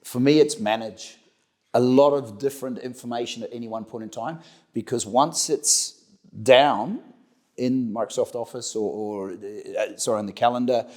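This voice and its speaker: A man's voice: medium pitch, normal speed, a little mellow. A middle-aged man with a New Zealand accent, answering interview questions conversationally, often repeating words and restarting as he goes. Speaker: interview guest, company director.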